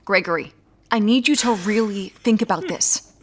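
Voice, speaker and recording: A woman playing a guarded, quietly determined amateur detective in a naturalistic audio drama, with a dry, measured manner. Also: mid-range voice, lively intonation, slight background noise, natural voice. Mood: probing, patient.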